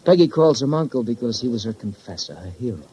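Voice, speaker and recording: A man's voice: mid-range voice, big pitch swings, fast talker, warm tone, a little hiss or hum, close to an everyday voice. An actor playing a sardonic, embittered young man with an American accent, clipped and slangy, in 1950s radio crime drama style. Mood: bitter, sardonic. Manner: clipped, sarcastic, slangy wisecracks. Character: bitter, sardonic young man, failed lawyer, tough talker. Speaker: actor playing a murder suspect. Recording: muffled vintage 1950s radio recording.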